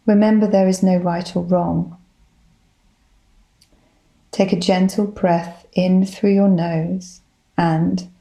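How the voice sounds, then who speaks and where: A woman leading a guided body scan meditation, giving gentle, soothing instructions in a calm manner. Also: on the low side, rough recording. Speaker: psychologist, guided meditation leader.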